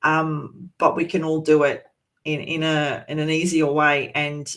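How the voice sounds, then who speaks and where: A teacher walks educators through a teaching resource. The delivery is informal and easygoing, full of little pauses, ums and restarts. The voice sits at a middle pitch. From Australia, east coast. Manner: relaxed and chatty, many ums and restarts.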